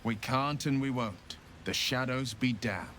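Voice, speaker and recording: A man's voice: mid-range voice, a little slow, background noise, lightly acted. A man playing an earnest, resolute young nobleman turned warlock adventurer in a dramatic video game, speaking in a formal, sincere way. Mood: urgent, defiant.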